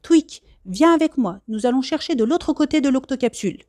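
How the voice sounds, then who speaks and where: A commanding male captain from a children's adventure book, acted by a woman. The voice is raised high and swings with animation, very close and dry. Orders come out crisp and decisive. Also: animated, moderate pace, warm tone, no echo. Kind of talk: children's storybook character voice.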